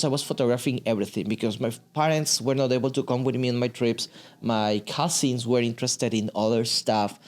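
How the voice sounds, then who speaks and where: A man's voice: mid-range voice, a little mellow. A man in his thirties from Ecuador, speaking English with an Ecuadorian Spanish accent, telling his story earnestly and restarting phrases as a podcast guest. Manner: earnest, searching for words with restarts. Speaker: guest, immersive media artist. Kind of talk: casual interview talk. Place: podcast studio with sofas. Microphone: studio mic on boom arm.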